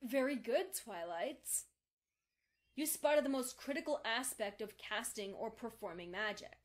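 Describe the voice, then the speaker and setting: A woman playing a calm, exacting female unicorn mentor in a fan audio drama, speaking in a formal, instructive manner. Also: fairly high pitch, measured pace.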